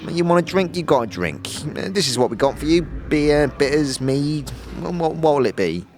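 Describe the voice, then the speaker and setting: A man playing a gruff, impatient, grudging tavern barkeep with an emphatic delivery in a fantasy audio drama. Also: a little high, sing-song, moderate pace, a little mellow. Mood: brusque, generous.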